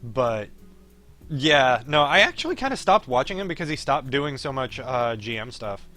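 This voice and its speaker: A man's voice: medium pitch, very animated, normal speed, bright, forceful tone, soft background music. A man chatting casually on a game livestream, blunt and sweary, reading from the game at times. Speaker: streamer reading a visual novel aloud. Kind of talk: casual livestream chat with read-aloud game dialogue.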